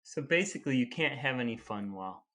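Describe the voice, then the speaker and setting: A man co-hosting a podcast with his brother, casually chatting and explaining history at length in an unhurried way. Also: mid-range voice, lively intonation, fairly bright tone. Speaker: podcast co-host. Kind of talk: casual chat between brothers.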